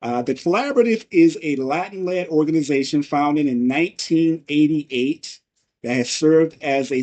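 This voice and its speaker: Male interviewer, measured formal reading, somewhat high pitch. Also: darkish tone. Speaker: interviewer, host. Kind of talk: reading an introduction, then interviewing.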